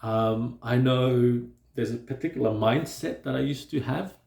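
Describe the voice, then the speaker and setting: Slow, gravelly-voiced man who stutters. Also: mid-range voice, darkish tone, reverberant. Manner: slow and hesitant, with frequent fillers and restarts. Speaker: vlogger. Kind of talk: reflective personal talk to camera.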